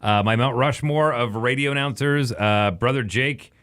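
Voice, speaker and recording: A man's voice: mid-range voice, normal speed, fairly bright tone. A middle-aged man, co-hosting a casual call-in podcast in a blunt, dry, matter-of-fact way. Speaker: podcast co-host and radio announcer. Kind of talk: casual advice and banter on a call-in show.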